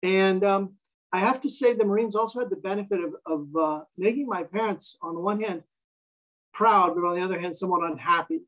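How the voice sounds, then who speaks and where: A man's voice: sits high, brisk, lo-fi sound. An American man in his fifties, a guest telling his life story in a wry, self-deprecating way. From United States. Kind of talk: casual interview conversation.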